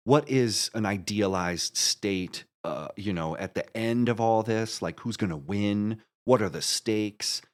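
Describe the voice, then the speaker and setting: A man speaking as a podcast guest, giving conversational answers in an earnest, halting way with emphatic restatements. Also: lowish voice. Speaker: podcast guest, musical creator.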